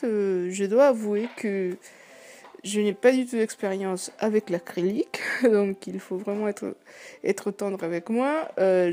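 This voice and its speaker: Hoarse soft-spoken young woman. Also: medium pitch. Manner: speaks softly, hesitant with many fillers. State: hoarse, sore throat. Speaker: art channel host. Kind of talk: casual vlog voice-over about painting.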